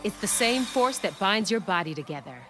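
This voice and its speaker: Woman doing a proud composed warrior lady for a video game, formal storyteller delivery, middle pitch, big music behind. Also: animated, brisk, lightly acted. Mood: instructing, confident. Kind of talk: animated adventure game cutscene acting. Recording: loud background music.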